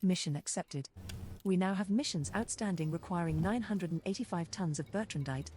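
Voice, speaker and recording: A woman's voice: lowish voice, moderate pace, warm tone, slight background noise, close to an everyday voice. A woman voicing a sly, playful ship computer copilot, making scripted announcements in a video game. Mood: businesslike.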